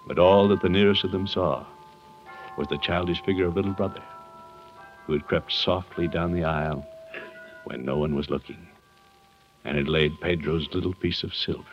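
A man's voice: low-pitched, close to an everyday voice. An American actor in 1950s radio style playing a kindly, sheepish middle-aged man who tells a Christmas story expressively and unhurriedly. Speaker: lead actor of a radio comedy. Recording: dull vintage 1950s radio recording.

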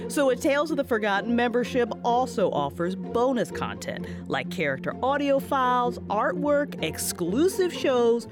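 A woman announcing a show in an upbeat, sing-song scripted read. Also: medium pitch. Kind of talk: scripted promo and intro read.

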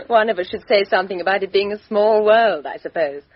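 A woman's voice: medium pitch, fairly quick, close to an everyday voice. An actress playing a bitter, defiant young English woman who speaks her mind coldly, in 1950s radio drama style. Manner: crisp, cold, outspoken. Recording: dull old radio recording.

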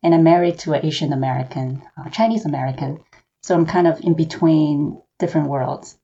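Low gravelly woman, dull remote audio. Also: dark tone. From Hong Kong. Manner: measured, reflective storytelling. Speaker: author and seminary professor. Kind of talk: interview answers.

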